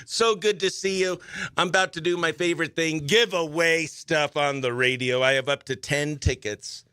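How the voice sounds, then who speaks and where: Bright, high-voiced man hosting morning radio. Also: normal speed, clear, resonant tone, no echo. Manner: excitable, chatty, playful. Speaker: morning radio show host. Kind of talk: lively radio host banter and giveaway patter.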